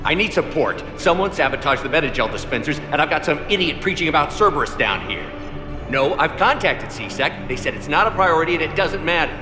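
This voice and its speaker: A man's voice: a little high, expressive, fairly quick, lightly acted. A man playing a frustrated officer urgently calling for support in a video game, exasperated and insistent. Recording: echoey hall, prominent music behind.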